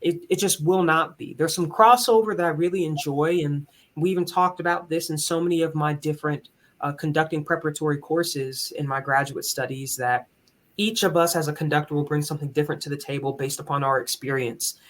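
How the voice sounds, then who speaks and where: A man with a higher tenor voice, speaking as a musician and conductor in an earnest, candid, reflective way in a conversational interview. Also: steady tone, a little fast, a bit bright.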